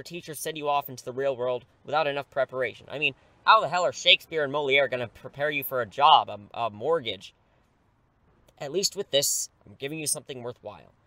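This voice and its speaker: A man's voice: medium pitch, sharp tone, no echo, lightly acted. An actor in an audio drama playing an earnest, persuasive teacher in his thirties who is secretly a superhero, pleading and wryly self-deprecating. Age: 30s.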